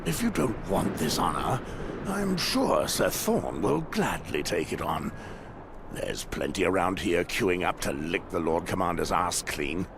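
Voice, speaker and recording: Very deep, gravelly veteran warrior. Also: male voice, very animated, unhurried, background noise, heavily acted. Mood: wry. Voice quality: gravelly, creaky. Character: taciturn seasoned male warrior of a fantasy watch. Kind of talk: video game cutscene acting.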